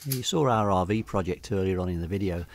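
A middle-aged man with an English accent giving a casual, unscripted voice-over walkthrough, hesitating and pausing often. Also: sits low. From England. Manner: hesitant, unscripted delivery with many pauses. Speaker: hobbyist video narrator.

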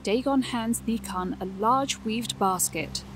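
A woman's voice: fairly high pitch, slow, faint music behind. A woman narrating a scripted fantasy audio drama.

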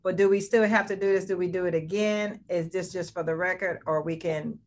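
A woman chairing a board meeting over a video call in a friendly, brisk way. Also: on the low side, steady tone.

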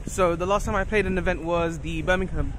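A young man giving a quick, plain-spoken recap of a tournament, outdoors on a city street at night. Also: sits high. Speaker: friend and guest player. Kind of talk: casual chat. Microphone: handheld camera. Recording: loud wind noise on an outdoor phone mic.

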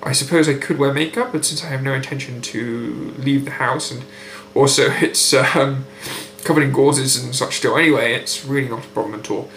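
A young British man with a blocked, nasal voice, speaking in an articulate, measured way for a recovery video diary. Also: mid-range voice, creaky, fairly bright tone. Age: young adult. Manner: articulate, precise, unhurried. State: nasal, blocked nose after surgery. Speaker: patient keeping a recovery diary. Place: indoor room at home by a window. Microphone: built-in webcam mic, close framing. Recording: echoey room with faint hum.

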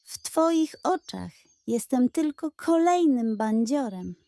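Woman as a mellow, sardonic coyote outlaw. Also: sits high, expressive, slow, no room sound, full character voice. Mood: wry contempt. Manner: sardonic, dismissive. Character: cynical, smirking male coyote outlaw prisoner.

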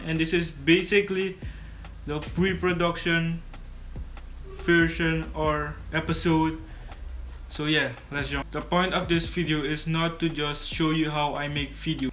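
Teenage male vlogger from Suriname, native Dutch speaker; monotone delivery, casual tutorial read. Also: a little high, a little hiss or hum. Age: teens. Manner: casual, repeats and restarts words. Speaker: YouTube creator. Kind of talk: scripted tutorial vlog to camera. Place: small bedroom studio indoors.

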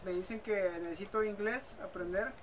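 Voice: medium pitch, normal speed. Slangy Mexican gamer over noisy gunfire. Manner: slangy, questioning, hesitant. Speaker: online gamer, video maker. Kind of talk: casual chat over game voice chat. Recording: noisy game audio with gunfire. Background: video game gunfire.

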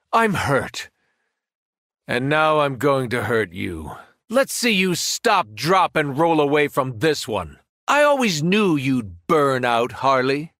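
A man's voice: fairly high pitch, pushed and bright, no room sound, studio quality, heavily acted. An actor playing a menacing, arrogant alien superhero turned villain in a video game, taunting his foes with cold mockery and grandiose threats.

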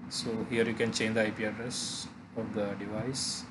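A man narrating a software tutorial haltingly, pausing often mid-phrase. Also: lowish voice, level tone, noisy background. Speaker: tutorial presenter.

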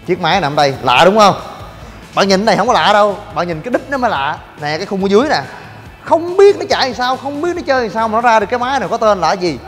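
A young man hosting a gym vlog, joking in rapid banter and shouting coaching cues. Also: sits high, sing-song. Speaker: fitness vlogger. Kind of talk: energetic gym demo vlog. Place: large indoor gym. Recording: long gym reverb with music.

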